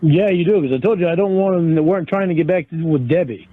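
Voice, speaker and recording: Higher-voiced man on a tinny phone line. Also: fast. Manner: defensive, insistent, repetitive. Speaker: caller in an argument. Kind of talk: heated phone argument. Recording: tinny, band-limited phone call.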